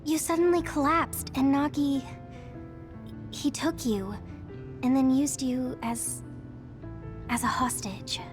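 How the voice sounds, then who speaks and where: High-voiced, earnest young teammate. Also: female voice, fairly even intonation, takes it slowly, long pauses, soft background music. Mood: worried. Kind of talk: scripted video game cutscene acting.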